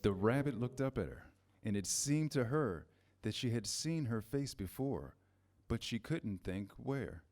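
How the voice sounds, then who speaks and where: A man's voice: medium pitch, expressive, measured pace, darkish tone. A man reading scripted storybook narration in a staged reader's theater style.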